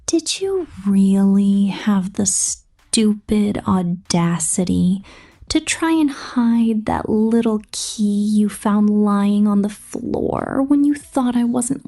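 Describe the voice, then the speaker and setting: A woman voicing a possessive succubus pop star in an ASMR roleplay, seductive and menacing, speaking slowly and intimately in breathy whispers. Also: medium pitch, mellow, full character voice. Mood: condescending, menacing. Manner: slow, deliberate, intimate.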